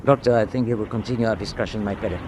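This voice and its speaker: An actor playing an imperious German wartime sea captain in a radio drama, curt, commanding and impatient. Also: male voice, on the low side, racing, background noise, lightly acted. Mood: grave, commanding.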